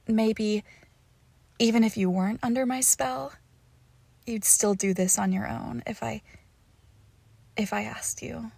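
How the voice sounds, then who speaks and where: A woman playing a lovesick, possessive young witch in an intimate roleplay audio, cooing haltingly and tenderly with a creepy edge, often dropping into whispers. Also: on the high side, vocal fry, dry recording. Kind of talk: intimate roleplay audio acting, close to the listener.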